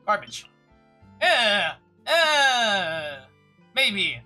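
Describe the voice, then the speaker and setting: A woman's voice: mid-range voice, big pitch swings, drawn-out words, pushed and bright, faint music behind. A woman livestreaming a game with casual, rambling commentary, often stammering and swearing, on a streaming microphone.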